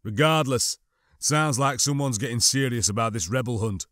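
A man's voice: mid-range voice, normal speed, a bit bright, no echo, studio quality, natural voice. A man voicing an earnest, troubled stormtrooper with a tense, questioning manner in an audiobook. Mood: uneasy. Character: troubled, doubting male stormtrooper, earnest and uneasy.

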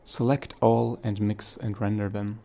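Male tutorial narrator, gravelly edge, reading step-by-step instructions from a script. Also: lowish voice, level tone, unhurried, dark tone.

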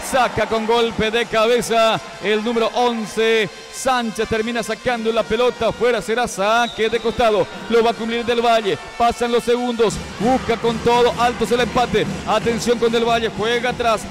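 A man with a Paraguayan Spanish accent calling a youth futsal match live, with quick, excited play-by-play that climbs at scoring chances. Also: very high-pitched, over music, a crowd in the background. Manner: rapid, excited calls that rise near goal chances. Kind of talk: live sports commentary.

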